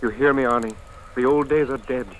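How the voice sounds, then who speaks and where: An actor playing a hard, impatient former resistance comrade, blunt and insistent, in a 1950s radio drama. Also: male voice, mid-range voice, fairly even intonation, brisk, natural voice. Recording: faintly noisy old radio recording.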